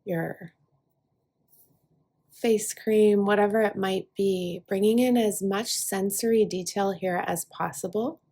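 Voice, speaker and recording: A woman guiding a relaxation meditation in a soft, breathy voice, soothing and unhurried, leaving long pauses between short phrases. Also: mid-range voice, fairly even intonation. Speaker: yoga nidra guide. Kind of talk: guided relaxation meditation, speaking softly to listener.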